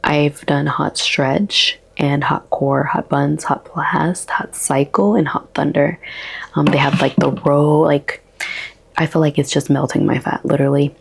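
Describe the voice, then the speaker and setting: A woman in her thirties with a soft, relaxing voice, talking slowly and haltingly in a casual daily vlog. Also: low-pitched, fairly even intonation, creaky, slightly rough. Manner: slow, halting, with many ums. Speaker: vlogger.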